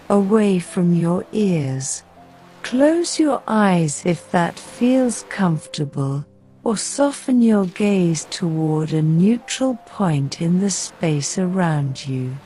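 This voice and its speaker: A woman guiding a sleep meditation in a gentle, soothing, measured way, reading scripted narration with pauses between phrases. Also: lowish voice. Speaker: meditation guide. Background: soft music.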